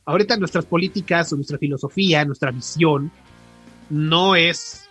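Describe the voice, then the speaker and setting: A man's voice: on the high side, moderate pace, a bit bright, slightly rough. A man with a Mexican accent co-hosting a podcast in casual, slangy chat, emphatic and repeating words for stress. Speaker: podcast co-host. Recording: music behind the voice.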